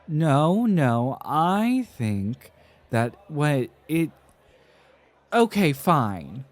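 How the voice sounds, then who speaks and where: A man playing a flustered, dramatic, tipsy young scholar in an ASMR roleplay, enunciating carefully with halting, theatrical flourishes and an affectionately defensive temper. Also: fairly high pitch, very animated, full character voice. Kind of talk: ASMR roleplay, acted one-sided conversation.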